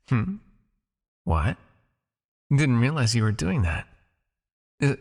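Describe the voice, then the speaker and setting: A man playing a possessive, teasing vampire captor in an intimate ASMR roleplay, coaxing the listener with a creaky voice. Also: medium pitch. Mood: teasing, intrigued. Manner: coaxing, teasing, with pauses, sighs and murmured asides.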